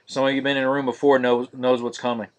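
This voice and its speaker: Male card-break host, mid pitch, flat steady delivery, live-stream feel. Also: fast talker. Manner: monotonous, steady listing of cards.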